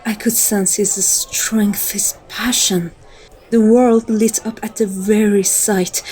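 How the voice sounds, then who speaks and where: A woman acts out a female vampire, seductive and commanding yet devoted. She speaks very unhurriedly in a soft-edged, dark timbre, with the intimate, poetic delivery of an ASMR roleplay. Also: medium pitch, lightly acted, poor audio. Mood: tender, admiring.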